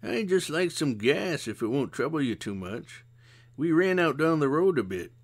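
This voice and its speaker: A man voicing a calm, shrewd older woman who talks in a dry, knowing, gently scolding way. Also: on the high side, normal speed, pitched above the performer's own voice.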